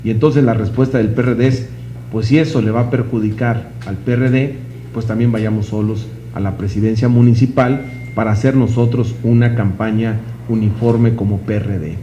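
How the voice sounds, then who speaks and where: A man's voice: lowish voice, steady tone, normal speed, dark tone. A man with a Mexican accent speaking as a political leader to the press. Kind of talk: statement to the press. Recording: echoey, dull, noisy press recording.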